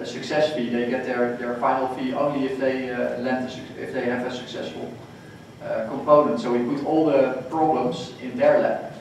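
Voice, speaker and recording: A man speaking on a conference panel, halting with frequent restarts. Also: medium pitch, a little hiss or hum. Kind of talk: panel discussion. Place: hotel conference room, panel table. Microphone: table mic, recorded from far off. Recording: echoey hall recorded from far off.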